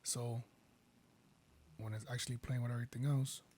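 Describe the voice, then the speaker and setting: A man with a baritone voice, casually walking viewers through making a beat and rambling as he goes. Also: moderate pace, husky. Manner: relaxed, rambling, self-correcting. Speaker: beat producer. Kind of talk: casual tutorial talk. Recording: faint music behind.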